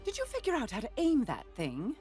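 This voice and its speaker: A woman's voice: high-pitched, huge pitch swings, brisk, warm tone, soft background music. A woman voicing a poised young sharpshooter in a game, coolly teasing and a little superior.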